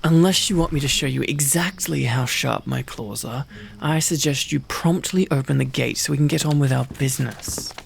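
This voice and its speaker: Gravelly, prickly wolfkin mercenary, acted by a man. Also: medium pitch, normal speed, slight background noise. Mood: irritated, sardonic. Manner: gruff, sardonic, stammers when flustered. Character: prickly, easily flustered male wolfkin mercenary, secretly protective. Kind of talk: ASMR roleplay acting.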